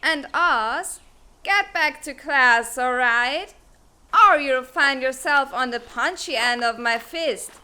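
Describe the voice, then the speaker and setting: Woman playing a bright, brash teenage schoolgirl schemer. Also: sits high, takes it slowly, clean tone, heavily acted. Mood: cocky, mischievous. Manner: cocky, playfully threatening. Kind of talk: fan dub comic drama acting.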